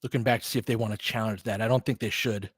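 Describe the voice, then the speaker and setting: A man's voice: fairly low pitch, fairly even intonation, quick pace, breathy edge, no echo, studio quality. A man doing informal, partisan live basketball commentary as a fan host, talking casually with some mild swearing.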